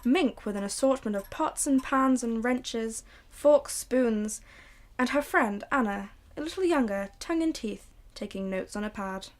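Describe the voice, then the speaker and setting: A woman's voice: fairly high pitch, animated. Young woman narrating a radio play. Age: young adult. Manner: measured, storytelling. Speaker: narrator and announcer. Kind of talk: scripted narration in 1940s radio drama style.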